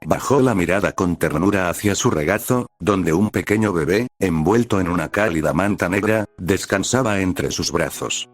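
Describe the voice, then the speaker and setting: A man's voice: deep voice, moderate pace, hardly any pauses, no echo. A man reading out scripted fan fiction as an audiobook-style narrator. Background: background music.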